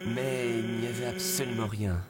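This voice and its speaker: A man playing an earnest, excitable artist skeleton who tells a story in an animated fan dub. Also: mid-range voice, moderate pace, lightly acted. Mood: earnest storytelling. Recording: voice under loud music.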